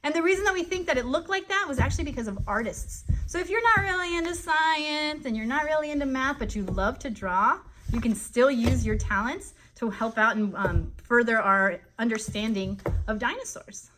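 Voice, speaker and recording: An animated woman hosting an informal live lesson for children, chatty and often checking in with questions. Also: high-pitched, moderate pace, hardly any pauses, over music. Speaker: children's educational show host.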